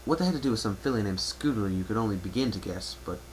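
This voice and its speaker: Man, story narrator, fairly low pitch, dramatic reading, amateur recording with faint noise. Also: expressive, rapid-fire. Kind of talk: dramatic reading of fan fiction, second-person narration. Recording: noisy amateur home recording.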